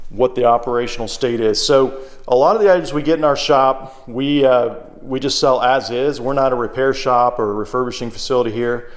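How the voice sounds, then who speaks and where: Easygoing man demonstrating a product. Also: medium pitch. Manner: easygoing, plain-spoken, thinking aloud as he goes. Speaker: secondhand shop presenter. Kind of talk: casual unscripted product demonstration.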